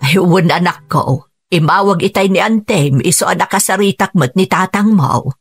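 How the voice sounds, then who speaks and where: Radio drama role: steady, reassuring rural Ilocano mother, husky and fairly low. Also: normal speed, no room sound, soft background music. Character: calm, reassuring rural mother of grown children.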